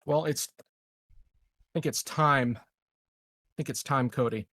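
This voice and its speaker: A man in a casual tabletop roleplaying game, laying out plans with deliberate, measured phrasing. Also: mid-range voice, pushed and bright, no echo, clean studio sound.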